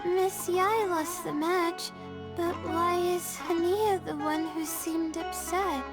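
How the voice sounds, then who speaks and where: A woman voicing a gentle, timid young student girl in an anime game, soft and hesitant. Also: very high voice, fairly even intonation, takes it slowly, over loud music. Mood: timid, concerned.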